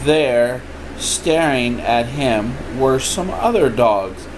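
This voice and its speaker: An older man reads a children's storybook aloud at a slow pace. His delivery is informal and expressive, like a grandfather at home, and there is a clear air conditioning hum behind his voice. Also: medium pitch. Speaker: grandfather reading a picture book aloud. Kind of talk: storybook read-aloud with playful asides. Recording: steady room noise, air conditioning hum.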